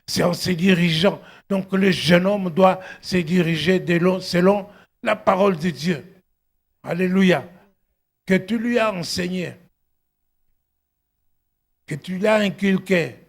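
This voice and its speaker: A middle-aged man preaching as a pastor, emphatic and exhortative, often calling out to his congregation, with a husky, gravelly voice. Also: high-pitched, slow pace, long pauses. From outside Belgium, arrived at eighteen. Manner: emphatic, punctuated with repeated exclamations and questions to congregation. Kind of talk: exhortative sermon.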